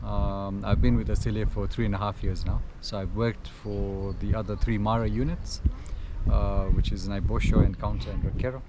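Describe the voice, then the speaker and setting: Low-voiced man, noisy outdoor recording. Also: steady tone, moderate pace. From Nairobi, Kenya. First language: Gujarati. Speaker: safari camp manager. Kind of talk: interview answers, informative talk. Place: outdoors at a bush camp by a river. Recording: clear outdoor ambient noise, occasional vehicles.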